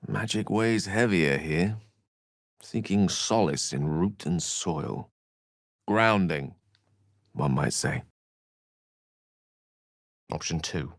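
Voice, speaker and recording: A man's voice: low-pitched, takes it slowly, long pauses, no room sound, studio quality, full character voice. A man voicing an eloquent, bookish wizard in a video game, articulate and theatrical, with ornate scholarly phrasing and a wry streak. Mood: musing, observant. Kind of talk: scripted video game character dialogue.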